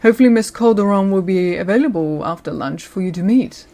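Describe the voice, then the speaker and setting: A woman playing a courteous senior lawyer in an audio roleplay, speaking in a polite, measured, professional way to the listener. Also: mid-range voice, animated, dark tone, natural voice. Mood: polite, businesslike. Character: courteous senior female lawyer showing a newcomer around.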